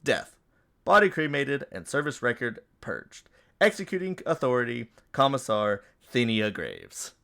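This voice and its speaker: A man's voice: mid-range voice, big pitch swings, unhurried, vocal fry, pushed and bright. A man giving a dramatic reading of a formal military verdict.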